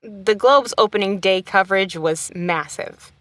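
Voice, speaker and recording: A woman's voice: mid-range voice, measured pace, fairly bright tone, dry recording, natural voice. A woman acting as an informed commentator in an audio play, explanatory and dry in manner.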